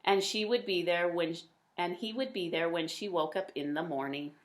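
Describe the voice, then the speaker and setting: A woman reading a picture book aloud to children in an expressive storytelling style. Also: lowish voice, normal speed. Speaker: read-aloud storyteller, teacher.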